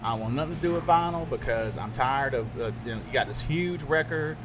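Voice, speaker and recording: A middle-aged man, a radio DJ, chatting casually and telling stories with animated asides. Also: mid-range voice, fairly quick. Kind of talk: casual podcast chat. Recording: noisy background.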